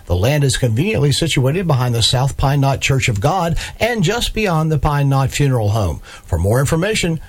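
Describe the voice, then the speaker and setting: A man's voice: mid-range voice, sing-song, moderate pace, few pauses. A man reading a scripted radio advertisement for a land auction in a salesy announcer delivery.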